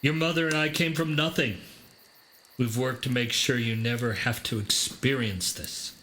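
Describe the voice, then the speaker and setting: A man's voice: medium pitch, normal speed, bright, forceful tone, slight background noise, natural voice. A man acting in a radio play as a protective, doting crime-boss father, earnest and paternal. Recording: faint music bed.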